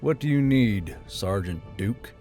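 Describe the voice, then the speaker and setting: Weary male officer, acted by a narrator: gruff, low, clipped lines, fantasy war tale, faint music bed. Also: lively intonation, a little slow, dark tone. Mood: guarded. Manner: terse, low-voiced. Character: gruff, weary, sardonic male military commander.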